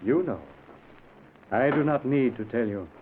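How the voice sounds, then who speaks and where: An actor playing a solemn police lieutenant, speaking in a measured, formal manner in a 1940s radio drama. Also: male voice, medium pitch, natural voice. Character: solemn male police lieutenant posing as afterlife guide. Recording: tinny, noisy old radio recording.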